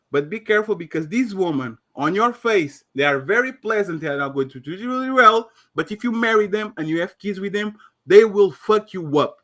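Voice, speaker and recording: A man's voice: high voice, sharp tone. A man from Portugal with a Portuguese accent, arguing heatedly in a casual debate, swearing and restarting his sentences. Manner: emphatic, swearing, stumbling restarts.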